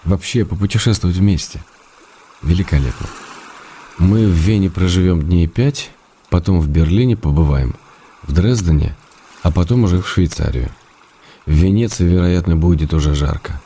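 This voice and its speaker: A man's voice: sits low, moderate pace, mellow, breathy edge. An actor playing an affectionate, wry writer reading his intimate letters to his wife in a radio drama. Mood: cheerful, affectionate.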